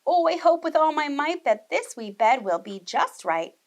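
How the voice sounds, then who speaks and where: Casting: woman to play a bold, bouncy storybook little girl, high and swooping, chanting her lines. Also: sing-song, normal speed. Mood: pleased. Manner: sing-song chanting, exclamatory. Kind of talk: children's storybook read-aloud.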